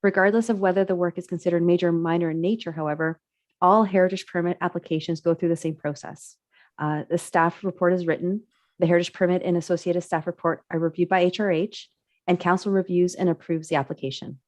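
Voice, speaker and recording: A woman on municipal staff, getting over a cold and sounding raspy, presenting slides at a committee meeting in measured, prepared remarks. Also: lowish voice, steady tone, a little mellow, no echo. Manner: measured, reads prepared remarks, clears throat.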